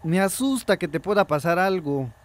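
An actor playing a caring, worried father in a radio drama, speaking gently and hesitantly. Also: sits high, lively intonation, moderate pace. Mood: worried, accepting. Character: caring, worried father gradually coming round to support.

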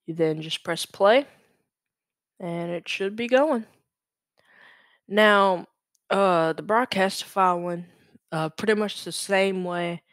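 A young man from Louisiana hosting a casual, unscripted screen-share tutorial, halting and correcting himself as he goes. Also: high voice, a bit bright, clean tone, no echo, studio quality. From Louisiana, United States. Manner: hesitant, halting, self-correcting. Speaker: tutorial host. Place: indoors at a computer. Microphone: USB microphone.

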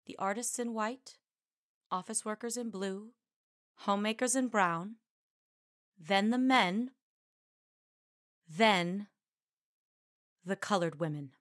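An actress playing an imperious suffrage leader in a radio drama, formal, clipped and commanding. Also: female voice, mid-range voice, slow pace, pauses often, no room sound, studio quality, close to an everyday voice. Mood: cool, dismissive. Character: imperious, brisk-minded woman suffrage leader.

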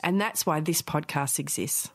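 An Australian woman with a slightly low voice speaks as a teacher and podcaster. Her delivery is earnest and articulate, and the recording is dry and close. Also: moderate pace, no room sound.

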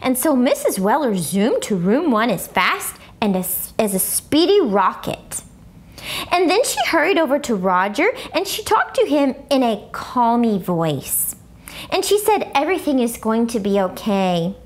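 An excitable little schoolgirl played by a woman tells her storybook journal in a high voice. She is blunt and dramatic, swooping widely in pitch and taking her time with heavy, exaggerated emphasis. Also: measured pace. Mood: animated. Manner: childlike bad grammar, exaggerated emphasis. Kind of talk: expressive children's book read-aloud.

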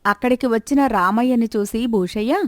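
A woman serves as narrator of a scripted moral story. Her voice sits on the high side. The sound is dry and free of room echo. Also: fairly quick.

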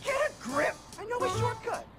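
A man voicing a brash, scheming cartoon boy for a video game, pushy, excitable and nasal. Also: very high-pitched, moderate pace, music in the background. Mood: bossy, frantic.

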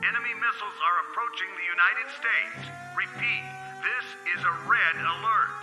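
An actor playing an emergency broadcast announcer who reads a red alert over the radio in a clipped, urgent, official manner. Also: male voice, high-pitched, lively intonation, moderate pace. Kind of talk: radio drama acting. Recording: roomy radio broadcast with music.

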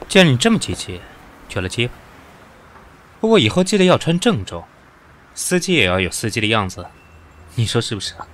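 Man acting a bright-voiced, sardonic boss. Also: mid-range voice, big pitch swings, a little fast, long pauses. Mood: sardonic, condescending. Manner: condescending, sarcastic, needling. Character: proud, cool, sardonic male company boss. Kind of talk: modern audio drama acting.

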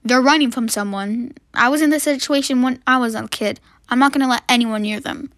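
A woman's voice: on the high side, fairly quick, a bit bright, dry recording, clean studio sound, close to an everyday voice. A woman playing a protective front desk lady in a roleplay story, firm but easily flustered.

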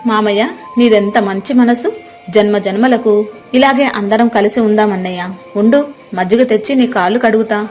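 A woman acts out a young married village niece in a moral story. She is solicitous and plaintive, angling for sympathy in a mid-pitched voice, with faint music underneath. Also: moderate pace, darkish tone, natural voice. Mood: grateful. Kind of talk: dramatized moral story acting.

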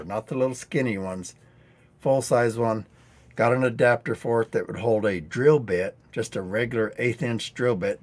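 A man in his sixties hosting a live DIY training stream, going a bit hoarse, explaining tricks in a dry-humored, rambling way on a headset mic indoors. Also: lowish voice. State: getting hoarse. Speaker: DIY channel host. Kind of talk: live-stream teaching chat. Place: indoor studio set.

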